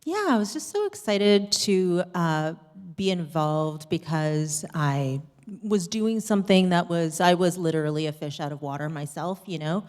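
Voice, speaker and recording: A woman's voice: lowish voice, animated, a little slow, darkish tone. Woman, live panel guest, candid storyteller, informal and keen, big-room reverb. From Canada. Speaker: series host on a Q&A panel. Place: theatre stage at a live screening Q&A. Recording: reverberant hall, stage microphone.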